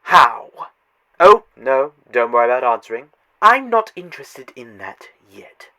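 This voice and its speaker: A man's voice: medium pitch, very animated, a little slow, heavily acted. A man voicing a taunting, godlike male trickster in a fan audio drama, theatrical and mocking. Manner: theatrical, taunting, swinging loud to hushed.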